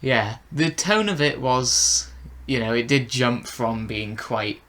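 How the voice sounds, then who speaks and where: A man's voice: medium pitch, slow, fairly bright tone. A man co-hosting a casual review chat, hesitant as he thinks his opinions through aloud. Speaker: co-host of a review show.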